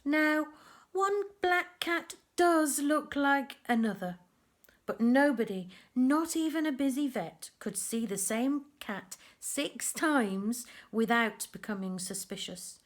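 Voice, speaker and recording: Slow-paced woman reading a children's story. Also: sits high. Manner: expressive, deliberate reading with gentle emphasis. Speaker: teacher reading a picture book to young children. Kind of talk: storytime read-aloud with chatty asides on pictures.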